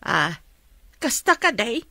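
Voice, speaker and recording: Bright-voiced Ilocano mother, played by a woman. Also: fairly high pitch, sing-song, slow pace, dry recording, exaggerated voice. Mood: affectionate. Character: ailing, affectionate older Ilocano mother. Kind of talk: radio drama acting.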